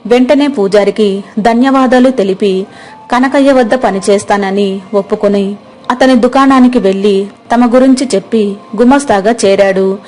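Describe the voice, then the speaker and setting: A woman's voice: medium pitch, normal speed, a little mellow, slight background noise. A woman storyteller reading a scripted moral story as narration.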